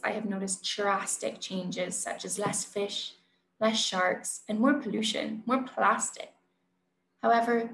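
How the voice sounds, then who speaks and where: Woman, 30s, earnest scripted presenter, some vocal fry, roomy sound. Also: medium pitch. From Barbados. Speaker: conservationist and presenter. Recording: roomy echo, light background noise.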